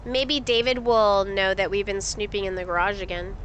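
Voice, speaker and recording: A woman's voice: medium pitch, fairly even intonation, moderate pace, a bit bright, muffled recording, slight background noise. A woman streaming a game, sniffly, chatting casually with viewers and reading things aloud. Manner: chatty, reads things aloud, asks chat questions. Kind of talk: casual live-stream commentary.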